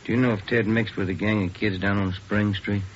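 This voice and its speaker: A male actor as a businesslike police detective in 1950s radio drama. He talks quickly in a fairly low, polite voice. The old recording is muffled, with faint noise. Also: steady tone, lightly acted. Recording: noisy, dull vintage radio recording.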